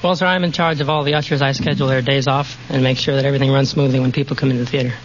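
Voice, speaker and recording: A man's voice: mid-range voice, fairly even intonation, racing. An American man in his twenties, politely and earnestly answering questions as a radio quiz contestant. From United States. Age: 20s. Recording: muffled, very noisy 1940s radio recording.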